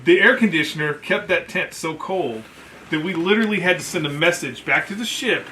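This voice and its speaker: A man with a forceful, bright voice, a little higher than average, tells military stories as a veteran. He talks casually and colloquially, swears, and acts out what people said. There is faint noise behind him. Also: a little fast. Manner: profane, colloquial, animated retelling. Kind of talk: casual storytelling with acted-out quotes.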